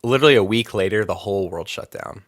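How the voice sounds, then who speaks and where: A man's voice: fairly low pitch, fast talker, dry recording. A man talking as a podcast guest in easygoing chat, rambling with fillers and restarts. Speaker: band vocalist, podcast guest. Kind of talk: casual interview chat.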